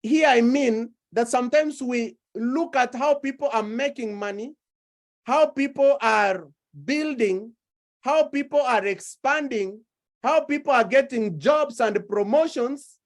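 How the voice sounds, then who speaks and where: A woman preaching a sermon, slow and deliberate, building her points through repeated phrases. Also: a little high.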